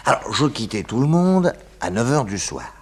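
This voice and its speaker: An actor playing a cocky, excitable male private detective in a 1960s French radio comedy serial, theatrical and comically hesitant as he recounts his case. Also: medium pitch, moderate pace, breathy edge. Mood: explanatory, boastful.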